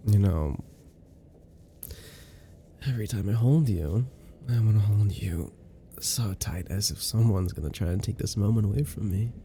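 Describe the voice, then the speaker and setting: A man playing an affectionate, teasing boyfriend in an intimate ASMR roleplay, with a soft, playful, drawn-out delivery. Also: lowish voice, a little hiss or hum, lightly acted. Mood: tender. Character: affectionate, teasing new boyfriend cuddling his girlfriend.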